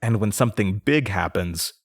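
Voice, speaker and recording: A man playing a nervous young man from a small Midwestern town, recounting his past in a halting, conversational audio drama monologue. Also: on the low side, animated, no room sound, clean studio sound. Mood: reflective. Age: young adult. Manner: hesitant, stammering, rambling. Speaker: audio drama lead character.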